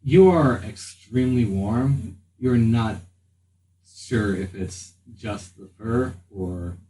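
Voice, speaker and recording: A man working as game master, narrating a tabletop game in a halting, improvised way. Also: fairly low pitch, dark tone, far from the mic. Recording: echoey room, off mic, background noise.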